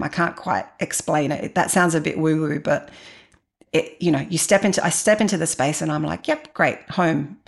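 A woman in her forties speaks as an interview guest, looking back on her own life. Her voice sits low, with a marked creak at the edges. She is candid and introspective, talking in a conversational storytelling way. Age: 40s. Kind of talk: reflective personal storytelling.

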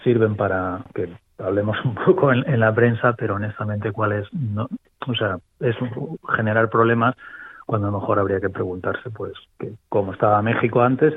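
A man from Spain with a Spanish accent, a guest talking politics over a call, pausing and restarting as he thinks. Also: fairly low pitch, breathy edge, dry recording. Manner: thoughtful, many restarts and fillers. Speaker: guest commentator. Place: remote location abroad. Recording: tinny phone line, dry.

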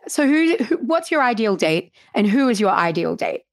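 A woman hosting a casual interview, asking playful questions and quickly chiming in with agreement. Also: fairly high pitch, big pitch swings, dry recording. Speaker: podcast host.

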